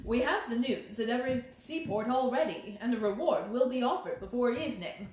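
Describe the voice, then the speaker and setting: A woman voicing a brisk, talkative, deferential male police inspector in a detective storybook. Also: fairly high pitch, lively intonation, darkish tone, very echoey, a little hiss or hum, lightly acted. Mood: brisk, confident.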